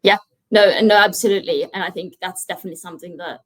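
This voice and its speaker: A woman's voice: fairly low pitch, fairly even intonation, fast talker, no room sound. A young woman from Finland, a podcast guest talking in a casual, earnest way, often restarting her sentences. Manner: earnest, many restarts and "you know". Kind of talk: casual interview chat.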